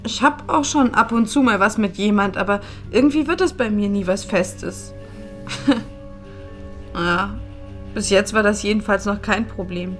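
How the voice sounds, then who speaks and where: A woman's voice: mid-range voice, measured pace, soft background music, natural voice. An actress playing a grieving young woman, loyal confidante to a dead friend, in a screen drama. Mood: subdued, candid. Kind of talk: dramatic film dialogue.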